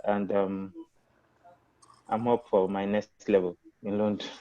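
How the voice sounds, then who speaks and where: Dark-voiced Nigerian man, thoughtful panelist. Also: on the low side, little pitch movement, slow, lo-fi sound. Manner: hesitant, reflective, many fillers. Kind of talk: panel discussion.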